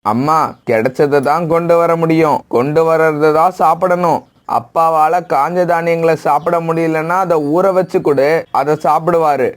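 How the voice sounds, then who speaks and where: Man as a lazy young bird, highish voice. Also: fairly even intonation, moderate pace, natural voice. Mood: dismissive. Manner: dismissive, blunt. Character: lazy, selfish young male bird, curt with elders. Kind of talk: acted children's moral story cartoon.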